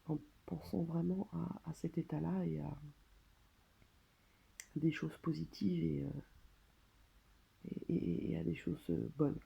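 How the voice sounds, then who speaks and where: A French woman speaking casually and hesitantly, as in a personal video diary, pausing and trailing off between phrases. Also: deep voice, fairly even intonation, gravelly, dark tone, husky, highs cut off. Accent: French, from France. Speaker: vlogger documenting own surgery stay. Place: hospital room.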